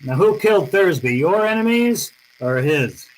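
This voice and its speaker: A man's voice: high-pitched, animated, measured pace, low-quality recording. An American man playing a tough, wry private detective in old-time radio noir style, with slangy, world-weary delivery. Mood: brisk, probing. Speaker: actor narrating and playing the lead.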